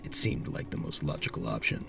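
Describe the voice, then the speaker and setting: A man narrating a scripted audio drama in a dramatic storytelling style. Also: a little fast. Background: music.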